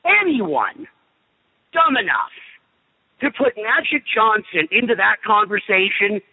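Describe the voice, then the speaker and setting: A man's voice: high-pitched, sing-song, takes it slowly, slightly rough. A man giving blunt, sarcastic opinions as a radio guest on a phone call. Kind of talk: opinionated sports talk. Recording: tinny phone line with long reverb.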